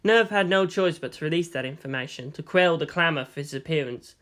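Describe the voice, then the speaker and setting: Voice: medium pitch, fairly quick, fairly bright tone. A narrator giving a scripted audiobook reading of a fan fiction story.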